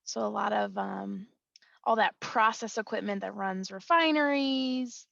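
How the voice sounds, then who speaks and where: A young woman introduces herself plainly and briskly. Her voice has a gravelly fry. The call sounds dry and slightly muffled. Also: medium pitch, bright, forceful tone, no echo. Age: young adult. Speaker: alumna guest, product manager. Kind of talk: casual introduction on a video call.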